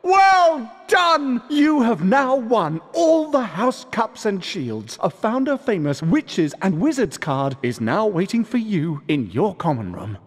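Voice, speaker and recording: An actor playing a vain, showy British wizard professor in a video game, pompous and self-satisfied. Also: male voice, high voice, sing-song, takes it slowly, hardly any pauses, in a large hall, full character voice. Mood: exultant. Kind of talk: video game character acting.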